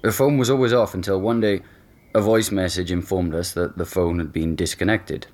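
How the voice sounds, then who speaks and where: Actor doing young guy getting interviewed at home, deep voice, casual, kinda hesitant, natural audio drama. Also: steady tone, normal speed, a little mellow, lightly acted. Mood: candid, puzzled. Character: easygoing young boyfriend reluctantly being interviewed at home.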